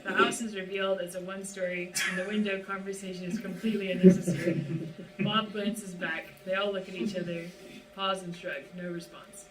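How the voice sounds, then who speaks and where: A woman's voice: medium pitch, little pitch movement, brisk. A woman reading stage directions as scripted narration at a live table read. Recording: roomy, distant mic, clearly noisy.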